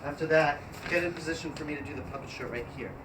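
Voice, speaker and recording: A man hosting a casual, improvised live stream, talking in a rambling, halting way and giving directions between remarks. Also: a little high. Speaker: live stream host and performer. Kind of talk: casual improvised livestream chat. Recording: echoey room, noisy live stream audio.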